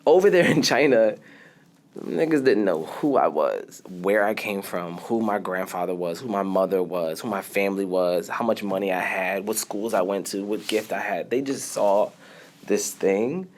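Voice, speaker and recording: A man, a podcast guest, speaking in a casual interview with animated storytelling that often laughs and trails off quietly. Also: fairly low pitch, normal speed, slight background noise.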